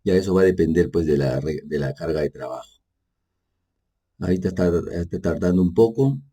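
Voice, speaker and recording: A man with a low, deep voice and a dark, mellow tone narrates a screen tutorial. He talks in an unhurried, casual way, correcting himself as he goes and checking in with little tag questions. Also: lively intonation, slightly rough. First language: Spanish. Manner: casual and explanatory, frequent fillers like "pues" and "¿no?"; halting, self-correcting narration while working on screen. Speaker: energy manager giving a software tutorial. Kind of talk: unscripted screen-share tutorial.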